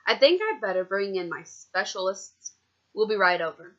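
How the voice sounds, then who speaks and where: A woman's voice: mid-range voice, big pitch swings, moderate pace, dull audio, lightly acted. A woman voicing a hesitant, pondering male family doctor in a children's storybook.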